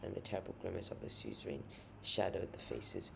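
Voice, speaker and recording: A man narrates a short story in a grave, literary way. His voice is deep and heavily creaky, full of gravel. The amateur recording has clearly audible noise behind him. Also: brisk. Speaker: author reading own short story. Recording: noisy amateur home recording.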